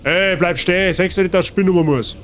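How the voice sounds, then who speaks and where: A man's voice: high-pitched, a little slow, a bit bright, faint music behind. A man with a Bavarian accent giving casual, chatty and playful live gaming commentary in colloquial speech. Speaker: streamer.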